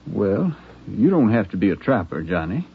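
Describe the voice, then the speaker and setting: An actor playing a friendly, plain-spoken frontier townsman in a 1950s radio drama, folksy and gently persuasive. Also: on the low side, big pitch swings, moderate pace, vocal fry, breathy edge, heavily acted. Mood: amiable, puzzled. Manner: folksy, hesitant, coaxing. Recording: thin, phone-like 1950s radio broadcast.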